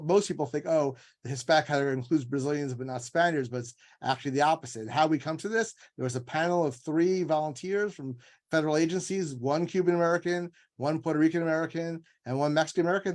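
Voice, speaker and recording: A man speaking as an author and scholar in an informal panel discussion, explaining in a discursive, emphatic way and often acting out imagined quotes. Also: a little high. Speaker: author and legal scholar guest.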